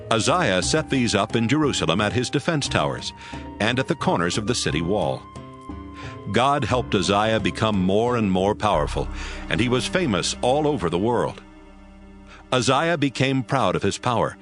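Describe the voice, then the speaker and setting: A man reading scripture as scripted narration for an audio Bible. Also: lowish voice. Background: music.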